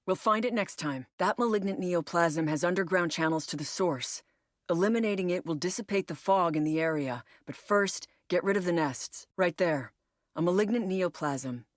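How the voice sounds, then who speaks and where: A woman's voice: lowish voice, normal speed, dry recording, studio quality, natural voice. An actress playing a female military operative in a video game, briefing her squad with clipped, instructive delivery. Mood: focused, commanding. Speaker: mission briefer and incursion leader.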